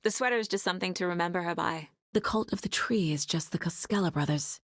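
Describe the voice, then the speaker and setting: An intuitive female FBI agent from a video game, thinking through a case with measured intensity. Her voice is slightly low and husky with some gravel in it. The sound is dry and close. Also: a bit bright, dry recording. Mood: focused, pensive. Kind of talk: video game voice acting, investigative monologue.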